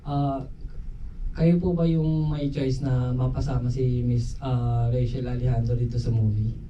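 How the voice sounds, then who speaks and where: A man's voice: medium pitch, little pitch movement, normal speed, dark tone. A man with a Filipino accent asking a polite, hesitant question as a reporter. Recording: dull, noisy, distant room pickup.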